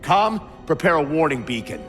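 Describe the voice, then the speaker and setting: An actor playing an authoritarian military starship captain in a video game, commanding, stern and clipped. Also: male voice, on the high side, big pitch swings, moderate pace, fairly bright tone. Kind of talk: dramatic video game acting. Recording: reverberant, music behind.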